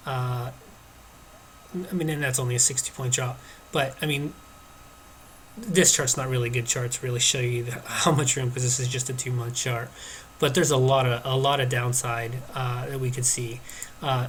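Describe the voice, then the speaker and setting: A man's voice: mid-range voice, a bit bright, slight background noise. A man with a stuffed-up nose from allergies, casually talking through stock charts on his screen with many hesitations and restarts. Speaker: stock market commentator.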